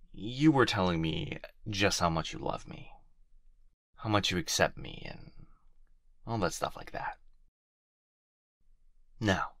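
A man playing an obsessive, doting fox-spirit husband in an intimate ASMR roleplay, speaking softly and tenderly, close to the microphone. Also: low-pitched, vocal fry, no echo, heavily acted. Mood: gentle, reassuring. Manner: soft, hushed, tender, halting delivery. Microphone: close binaural microphone.

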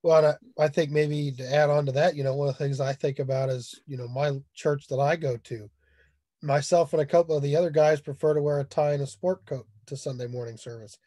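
A man's voice: fairly high pitch, fairly even intonation, fairly quick, mellow, dry recording, clean studio sound. A man adding a casual comment as a panelist on a video call. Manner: casual, conversational. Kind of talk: roundtable discussion.

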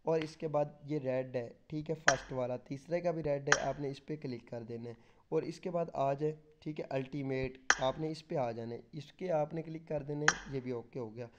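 A man's voice: medium pitch, normal speed, dull audio, very noisy. A man chatting casually through a game walkthrough, repeating simple steps. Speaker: gaming YouTuber.

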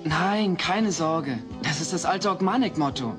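A youthful voice that swings up and down, with faint music around it. a rough-edged, cocky teenage boy in a sitcom audio drama, offhand and streetwise. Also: on the high side, expressive, measured pace. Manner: brash, offhand. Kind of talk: German dubbed sitcom audio play.

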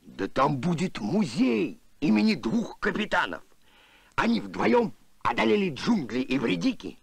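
A man's voice: high voice, sing-song, a little slow, creaky, slightly rough, no room sound, exaggerated voice. A man voicing a big, emotional, sentimental alien archaeologist in a Soviet cartoon, theatrical and exclamatory. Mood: excitable. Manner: theatrical, exclamatory, swinging to self-pity. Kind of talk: Soviet animated film voice acting.

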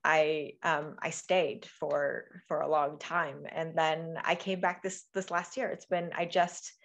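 A woman's voice: sits low, little pitch movement, slow pace. Chatty woman on a video call. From Oregon, USA. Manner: chatty, many restarts and fillers. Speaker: cookbook co-author, guest. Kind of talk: casual video-call conversation. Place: home room with bookshelves. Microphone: video call webcam.

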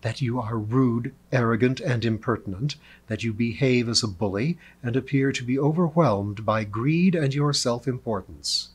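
A man voicing an imperious female angel of birth and death in a storybook, with slow, hissing menace. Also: medium pitch, a bit bright. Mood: cold menace.

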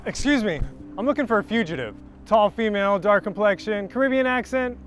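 A bullying impostor cop in a short film. His middle-aged voice sits high and swings sharply as he barks clipped, menacing orders. Faint music runs under the dialogue. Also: lively intonation, fairly quick, fairly bright tone. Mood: stern, official. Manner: clipped, commanding. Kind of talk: scripted short-film acting. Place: outdoors on street and station platform.

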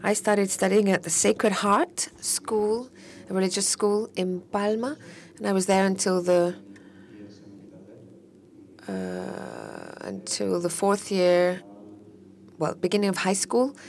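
A woman interpreting a panel discussion live, her voice carrying a gravelly, fry-tinged edge at a middle pitch. She speaks steadily but slightly haltingly, with restarts as she keeps up with each speaker.

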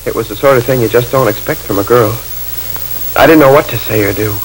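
A man's voice: medium pitch, fast, natural voice, poor audio. Young male clerk played by a man, 1940s radio drama: boyish, folksy, lovestruck narrator, faint hiss of old film. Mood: wistful, confiding. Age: young adult. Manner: folksy, hesitant, boyish phrasing. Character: earnest, lovestruck young drugstore clerk telling his story. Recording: hissy old film soundtrack.

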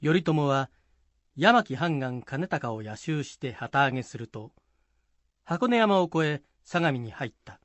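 A man's voice: medium pitch, big pitch swings, measured pace, fairly bright tone, no room sound. A man narrating a historical tale from a script as storyteller.

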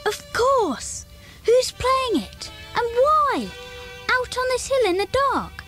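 A woman playing an excitable child sleuth in a children's audio drama. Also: very high-pitched, slow pace, soft background music, full character voice. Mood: curious. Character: excitable child in a mystery-solving gang.

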